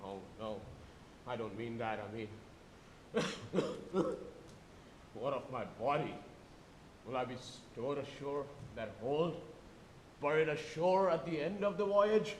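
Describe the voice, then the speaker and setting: A male stage actor playing a proud, dying pagan harpooner, speaking in halting, weighty, declamatory phrases in a live theatre drama. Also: natural voice. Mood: anxious, grave. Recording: echoey live auditorium, faint noise, rumble.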